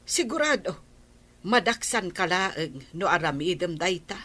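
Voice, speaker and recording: A woman voicing an older, devout grandmother who gives her daughter patient advice, with a husky voice. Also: lowish voice, very animated, unhurried, fairly bright tone, exaggerated voice. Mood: soothing.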